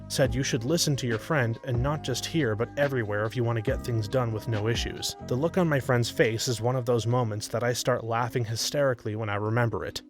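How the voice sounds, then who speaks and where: A man narrates a string of short first-person stories in an even, measured read. His voice sits at an ordinary middle pitch, and soft background music runs quietly under him. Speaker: narrator reading online stories. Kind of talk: scripted narration.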